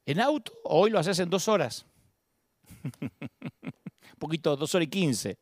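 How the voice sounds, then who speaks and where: A middle-aged man, a pastor with a Buenos Aires Argentine accent, preaching a sermon emphatically, repeating words and swinging his pitch suddenly. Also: lively intonation, takes it slowly, no room sound, studio quality. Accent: Argentine Spanish, Buenos Aires.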